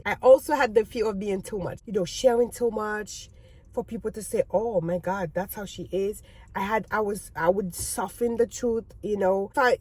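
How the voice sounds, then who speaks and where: Higher-pitched woman with French accent. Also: breathy edge, dry recording. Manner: emphatic, repeats phrases for stress. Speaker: video creator. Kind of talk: candid personal vlog monologue to viewers.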